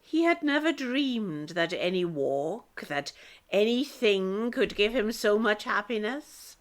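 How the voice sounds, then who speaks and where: A woman's voice: medium pitch, lively intonation, takes it slowly. A woman reading scripted storybook narration for a radio play. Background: loud music.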